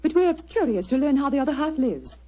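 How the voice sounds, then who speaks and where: A woman acting a genteel, earnest, wealthy society lady in a 1940s radio drama, polite, measured and dramatic. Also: high-pitched, big pitch swings, mellow. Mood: earnest, confessional. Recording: muffled vintage 1940s radio recording.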